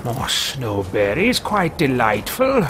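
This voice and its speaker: A man's voice: mid-range voice, huge pitch swings, slow pace, noisy background, pitched below the performer's own voice. A man playing a pompous, arrogant mage. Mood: haughty. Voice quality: pompous, grandiose. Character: arrogant, self-absorbed male mage adventurer. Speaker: let's play host roleplaying a character. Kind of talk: in-character roleplay over a video game. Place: room at home, indoors.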